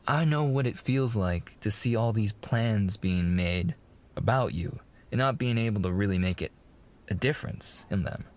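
A man plays a young mafia man courting his fiancée in an intimate ASMR roleplay. His voice sits on the low side. He talks in wiseguy slang, earnest and a little hesitant, reassuring and protective toward the woman he adores. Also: a little mellow, close to an everyday voice. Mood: sincere, protective. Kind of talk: boyfriend ASMR roleplay acting.

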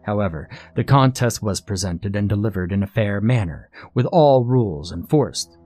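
A man's voice: lowish voice, expressive, normal speed, dark tone. A man voicing a spiteful, lawyerly devilish master of a contest in a storybook, formal and condescending in manner. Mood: haughty, final.

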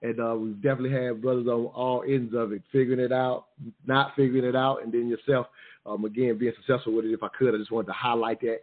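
A man's voice: medium pitch, flat delivery, fast. A man hosting a live call-in radio talk show, courteous and polite, often saying "if you will". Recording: phone-like narrow audio.

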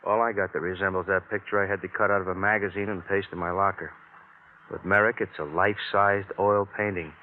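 An American actor playing a wry, plain-talking police detective sidekick in a 1950s radio crime drama, casual and wisecracking. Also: male voice, sits low, fairly even intonation, a little fast, slight background noise, close to an everyday voice. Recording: narrow, tinny vintage radio recording.

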